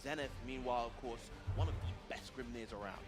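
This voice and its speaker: A man's voice: mid-range voice, brisk, over music. A man doing live esports commentary at a tournament, speaking effusively and full of superlatives. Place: event stage with audience, heard through a stream.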